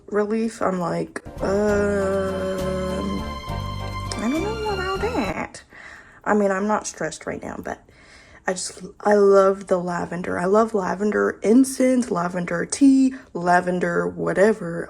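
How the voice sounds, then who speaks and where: A woman with a slightly raspy morning voice chatting casually to viewers as a vlogger, slangy and playful, with some swearing and emphatic catchphrases. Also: medium pitch, animated, takes it slowly, over music. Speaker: vlogger hosting a sip-and-chat video. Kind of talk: casual rambling chat to viewers. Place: indoors at home. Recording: roomy, echoey home sound.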